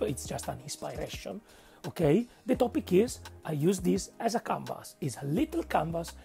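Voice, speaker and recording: A man with an Italian accent narrating a painting tutorial, speaking haltingly and searching for words, with frequent checks of "okay?". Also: a little high, vocal fry, darkish tone. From Italy. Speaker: miniature painting instructor.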